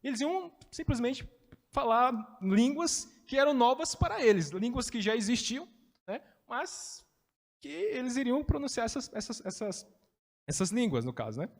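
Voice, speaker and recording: Male Bible teacher, high voice, chatty and explanatory, long reverb. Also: very animated, moderate pace. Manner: chatty, explains with everyday examples, frequent "né". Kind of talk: casual Bible study teaching.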